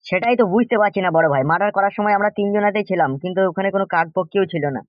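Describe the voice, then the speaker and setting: A man's voice: high voice, rapid-fire, no room sound, lo-fi sound, lightly acted. A man voicing a crude, fawning, chattering henchman loyal to a crooked boss in a comedy cartoon. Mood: baffled. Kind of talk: animated comedy cartoon dialogue.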